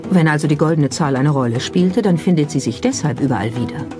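Female documentary narrator, lower register, music clearly underneath. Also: lively intonation, quick pace. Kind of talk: scripted narration. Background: music behind the voice.

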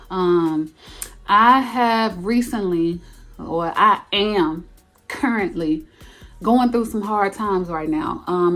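A woman in her thirties chatting to camera in her bedroom as a lifestyle vlogger, animated and playful, jumping up high for emphasis. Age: 30s. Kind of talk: casual chat to camera. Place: carpeted bedroom at home.

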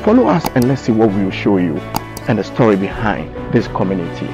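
Man, host, low pitch, upbeat intro, dull recording, music. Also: big pitch swings, brisk. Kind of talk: channel intro.

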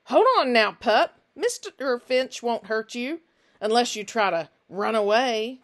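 A woman voicing a large, menacing stranger who puts on a false friendliness and talks loudly and mockingly. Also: fairly high pitch, expressive, a little slow. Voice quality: intelligent but unfriendly voice. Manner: loud, projecting, mocking.

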